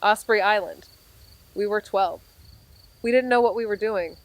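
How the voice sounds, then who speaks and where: An impulsive young woman amateur detective in a noir audio drama, voiced by an actress. Her voice sits at a middle pitch, and she talks casually, with slang and a wry, irreverent edge. The acting is natural and conversational. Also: a little slow, close to an everyday voice, low-quality recording. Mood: subdued.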